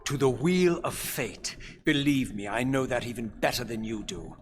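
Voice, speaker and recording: Guy doing embittered wraith for a video game, theatrical and sardonic, really dramatic swings in pitch, bit of noise behind. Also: expressive, moderate pace, natural voice. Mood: cold, resolute. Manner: theatrical, biting, formal diction.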